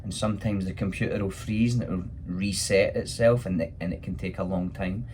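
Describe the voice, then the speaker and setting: A man's voice: low-pitched, steady tone, brisk, darkish tone, slight background noise. A man with a Scottish accent who performs in pantomime, answering questions in a casual chat.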